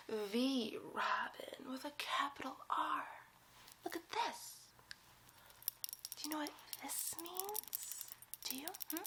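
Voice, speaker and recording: A woman's voice: high voice, pushed and bright, slight background noise, full character voice. A woman voicing a giddy, bossy young superhero sidekick in a whispered, theatrical, teasing ASMR roleplay. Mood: indignant, then proud, bossy.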